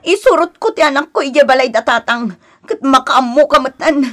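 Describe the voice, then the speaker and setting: A woman's voice: sits high, animated, normal speed, soft background music. A Filipino woman with an Ilocano accent acting an aggrieved young wife in a radio drama, plaintive and protesting. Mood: hurt. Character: aggrieved young wife, resentful of her in-laws.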